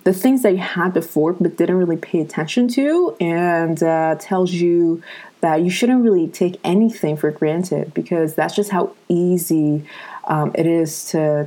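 A woman's voice: on the low side, dark tone. A young woman in her twenties hosting a show, chatting casually to viewers with many fillers while coming down with a cold. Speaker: host.

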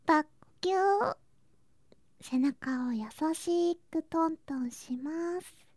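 Woman as clingy younger girl cousin, high voice, soft and breathy, frequent whispering, cutesy affection, ASMR voice drama, close dry recording. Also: animated, takes it slowly, no room sound, exaggerated voice. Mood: sleepy, tender. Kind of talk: Japanese ASMR situation voice drama. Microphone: close ASMR microphone.

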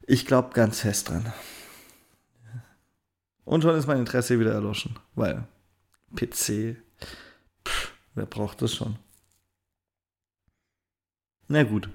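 A man's voice: medium pitch, unhurried, vocal fry, pauses often, husky. A German-speaking man co-hosting a casual gaming podcast, joking and teasing while telling anecdotes. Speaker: podcast co-host.

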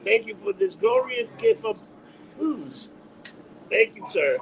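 A man's voice: very high voice, wildly swooping pitch, slow pace, gravelly, a little hiss or hum, low-quality recording. A man from New York with a New York accent, chatting casually and brashly as a podcast host, swearing and rambling through stories. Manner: brash, profane, rambling storytelling. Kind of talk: casual bar-talk podcast chat.

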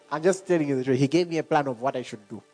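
A middle-aged man preaching a sermon. He talks in an animated way and laughs often between points. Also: medium pitch, brisk, soft background music. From Zambia.

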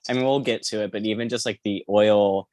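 A man's voice: on the low side, fairly even intonation, a little fast, a little mellow, dry recording, studio quality. A man co-hosting a podcast, chatting casually about a book in a rambling, hesitant way full of filler words. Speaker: podcast co-host. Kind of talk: casual book discussion chat.

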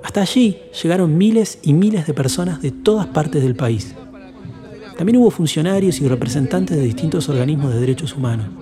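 Male narrator, slightly high voice, solemn reflective read, reverberant sound with a music bed. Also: animated, crowd noise. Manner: measured, solemn and lyrical. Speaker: podcast host and narrator.

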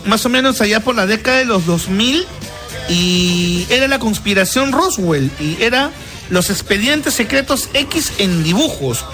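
A man with a high voice hosts a casual radio show. He chats loosely, circles back over his own words and tacks questions onto his phrases, with music clearly playing underneath. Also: animated, measured pace, bright, forceful tone. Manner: chatty, repeats himself, tags phrases with questions. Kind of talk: casual chat. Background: music bed.

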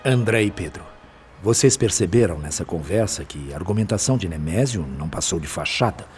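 A man playing a wise, calm spirit physician in a radio drama, explaining things in a measured, formal, fraternal way. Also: low voice, soft background music. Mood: gently instructive.

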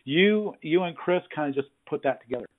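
A man hosting a casual interview over the phone, talking informally and effusively in halting phrases. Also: fairly high pitch, expressive. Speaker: interviewer, hands-on tradesman. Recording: husky-sounding, thin phone-line audio.

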